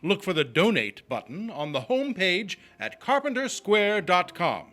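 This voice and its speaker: Bright, high-pitched theatrical male announcer. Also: very animated, unhurried, soft background music. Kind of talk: scripted live show announcement, theatrical.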